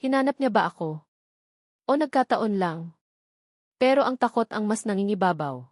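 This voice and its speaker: A woman's voice: mid-range voice, animated, moderate pace, no room sound. Female storyteller, Filipino accent, mid-range voice, emotive scripted drama narration, suspenseful phrasing, very dry recording.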